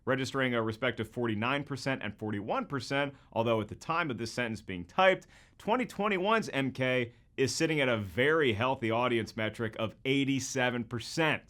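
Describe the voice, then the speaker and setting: A man's voice: medium pitch, moderate pace, a bit bright. A man delivering scripted comedic narration as a host, with a wry, joking manner and exaggerated emphasis. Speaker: host of a movie comparison video.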